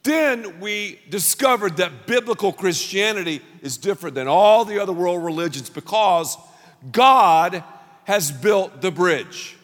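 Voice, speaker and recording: A middle-aged man preaching a sermon as pastor, telling stories with animated delivery and shouting out acted-out lines. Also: high-pitched, unhurried, long reverb tail.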